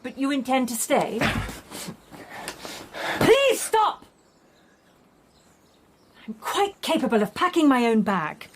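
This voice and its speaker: Anxious English wife, high, expressive. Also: female voice, slow pace, long pauses, full character voice. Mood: protesting. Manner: questioning, concerned, quietly resistant. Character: anxious but spirited, well-bred Edwardian wife. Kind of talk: period radio drama acting.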